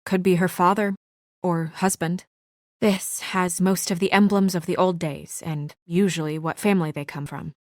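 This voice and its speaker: A woman plays a serious young female official in a video game, her voice on the low side and recorded very dry. She is businesslike but anxious, earnest and guarded, and grows hesitant under stress. The delivery stays naturalistic. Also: fairly even intonation, moderate pace, darkish tone, no room sound, lightly acted. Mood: tense, matter-of-fact.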